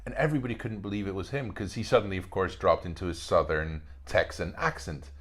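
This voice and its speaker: A man's voice: low-pitched, fast. A man, an actor chatting as a podcast guest and telling stories with lots of fillers and restarts. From Spain. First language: Spanish. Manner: animated storyteller, many fillers and restarts. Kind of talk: casual podcast conversation.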